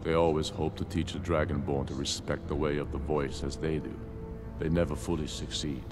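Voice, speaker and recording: An actor playing a proud, grave, battle-hardened middle-aged rebel leader in a fantasy video game, speaking in a solemn, measured, oratorical way. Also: male voice, extremely low pitch, flat delivery. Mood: stern, measured. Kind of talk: scripted video game dialogue. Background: background music.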